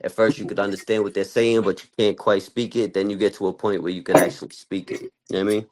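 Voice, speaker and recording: A man's voice: on the low side, level tone, fast, darkish tone. A man talking casually and in slang, as a commentator on a talk show.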